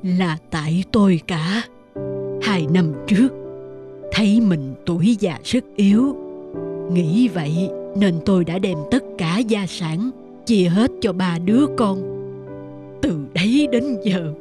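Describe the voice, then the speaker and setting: A man's voice: high-pitched, takes it slowly, music in the background. An actor playing a frail, sorrowful old father in a cartoon folk tale, weary and lamenting. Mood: regretful, sad. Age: older.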